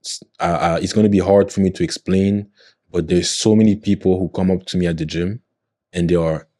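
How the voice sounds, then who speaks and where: A man's voice: low voice, steady tone, brisk, mellow, no echo, clean studio sound. A man in his twenties, a podcast guest, talking earnestly in a motivational way and often checking in with his listener. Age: 20s. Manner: earnest, motivational, often checks in with "you know what I mean". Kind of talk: casual podcast conversation.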